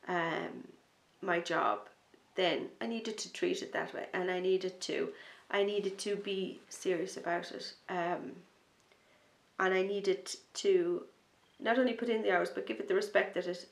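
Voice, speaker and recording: A woman with a lowish, gravelly voice chats casually as a knitting podcast host, rambling and enthusiastic. She sounds a little sniffly from a starting cold and slips into a soft near-whisper when excited. The sound bounces around an echoey room. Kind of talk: casual chat to camera.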